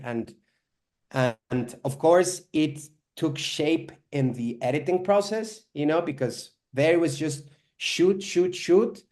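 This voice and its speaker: A man's voice: a little high, a little mellow. A young man born in Spain, a filmmaker, talking in a rambling way full of "you know" and "like" in an online interview. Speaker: filmmaker guest.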